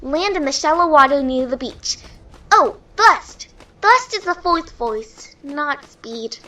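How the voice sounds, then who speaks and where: A woman's voice: sits high, animated, slow, pushed and bright, full character voice. A woman voicing an eager young pegasus filly, an earnest and slightly hesitant pupil in a fan story reading. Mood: eager, concentrating. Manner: earnest, hesitant, reciting lessons. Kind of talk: animated fan fiction audio reading.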